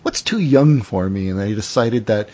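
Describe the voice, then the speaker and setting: A Canadian man chats casually as a podcast host, stumbling through restarts and fillers. His voice is husky. The sound is dull and lo-fi. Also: lowish voice, lively intonation, mellow. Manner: halting, many restarts and fillers. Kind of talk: casual chat.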